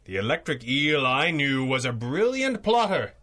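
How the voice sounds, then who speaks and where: An actor playing a confident, shrewd masked superhero, speaking formally and eloquently in a 1930s-style radio serial. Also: male voice, mid-range voice, very animated, normal speed, pushed and bright. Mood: pressing, triumphant.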